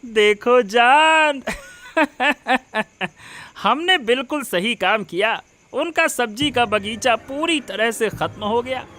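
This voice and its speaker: A man voicing a smug, scheming village villain in an animated moral story, boasting and gloating. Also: extremely high pitch, slow, heavily acted.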